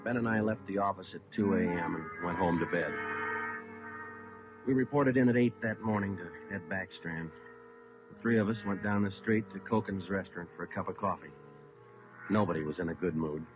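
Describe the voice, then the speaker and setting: An actor playing a calm, businesslike police detective who narrates his case in clipped, matter-of-fact lines for a vintage radio drama. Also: sits low, normal speed, music in the background, lightly acted. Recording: dull old radio recording.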